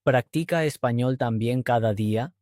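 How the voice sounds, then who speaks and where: Mellow, measured man reading lessons. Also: mid-range voice, no echo, clean studio sound. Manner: clear, even, measured delivery. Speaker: language teacher and host. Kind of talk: slow scripted dialogue reading.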